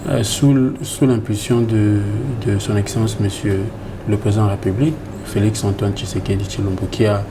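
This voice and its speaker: A Congolese man speaks in a fairly low voice as a government reform coordinator. He answers formally, restarting phrases and filling pauses, while clear background noise sits under the recording. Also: fairly even intonation. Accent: Congolese French. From Democratic Republic of the Congo. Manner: formal, halting with many fillers and restarts. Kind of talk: interview answers.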